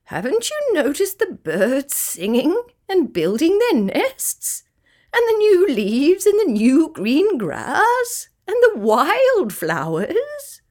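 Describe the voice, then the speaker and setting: Woman voicing a chortling, high-pitched kookaburra. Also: wildly swooping pitch, slow pace, a little mellow, heavily acted. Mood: amused, explaining. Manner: chortling, laughing through the words. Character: laughing, teasing male kookaburra, know-it-all bird. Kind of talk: children's storybook character voice.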